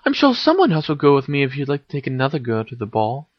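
A man's voice: mid-range voice, wildly swooping pitch, fast talker, dry recording, muffled recording, voice pushed up. A man voicing a fiery, proud teenage witch in an audiobook-style story, with emphatic delivery. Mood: shy, uncertain. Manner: scolding, emphatic when angered. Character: fiery, indignant teenage witch, girl with stubborn pride.

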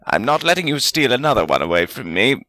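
A man voicing a fierce, excitable, jealous male wizard spirit with a snappish, theatrical manner in a storybook reading. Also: mid-range voice, measured pace, vocal fry, dry recording, voice pushed up, full character voice, low-quality recording. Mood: fierce, insistent.

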